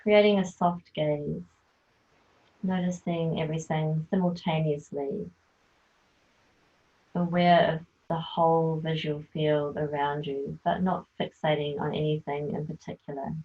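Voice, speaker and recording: Woman teacher, guided relaxation: low, flat and unhurried, soft and soothing, thin phone-like sound. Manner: slow, soft, soothing instruction. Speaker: yoga nidra teacher.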